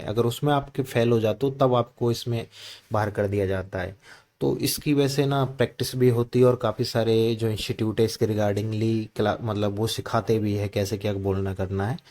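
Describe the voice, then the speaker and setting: A man's voice: fairly low pitch, a little mellow. An Indian man presenting an informal, instructive exam-coaching explainer, mixing in English terms and often checking in with the listener. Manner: instructive, mixes Hindi with English terms, repeats check-in tags. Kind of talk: informal explainer of a job notification.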